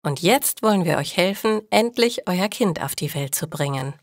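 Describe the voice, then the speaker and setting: A woman's voice: fairly low pitch, lively intonation, moderate pace, dry recording, clean studio sound. A woman voicing a carefree, self-assured female midwife in a story, breezy and unbothered.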